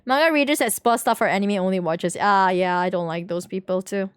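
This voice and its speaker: A woman's voice: medium pitch, fairly quick, smooth, no room sound. A woman live streamer chatting casually and informally with her viewers while playing a game, in a giggly way. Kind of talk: casual chat with stream viewers during gameplay.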